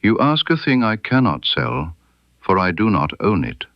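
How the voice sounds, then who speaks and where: A man voicing a cool, knowing woman informant in a mystery story, speaking softly and deliberately with guarded amusement. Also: low voice, big pitch swings, dry recording, muffled recording. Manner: soft, deliberate, veiled warnings.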